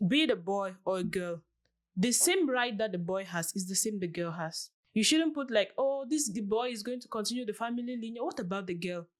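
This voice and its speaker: A woman's voice: medium pitch, animated, brisk. A woman in her late twenties from Cameroon, a guest giving earnest, casual interview answers and acting out little quoted examples as she explains. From southwest Cameroon. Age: late 20s.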